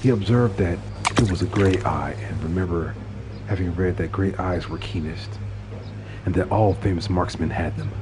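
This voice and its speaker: Deep-voiced man narrating a dramatic tale. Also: moderate pace, heavy background noise. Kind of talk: dramatic scripted horror-story narration.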